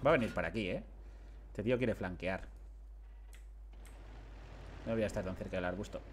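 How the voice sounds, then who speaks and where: A man's voice: deep voice, moderate pace, slight background noise. A man, commenting casually on his game through a headset mic, chatty and self-mocking. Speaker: game streamer. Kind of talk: casual live commentary on gameplay.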